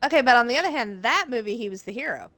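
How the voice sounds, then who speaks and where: An American woman chatting casually with a co-host, playful and quick to laugh. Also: on the high side, lively intonation, sharp tone, no room sound. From United States. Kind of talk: casual chat.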